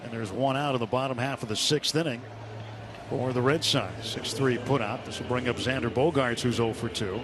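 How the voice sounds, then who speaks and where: A man calling baseball play-by-play live on the radio in a measured, descriptive way. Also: mid-range voice, fairly even intonation. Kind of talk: live sports commentary. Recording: clear stadium noise behind live broadcast. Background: outdoor ballpark ambience.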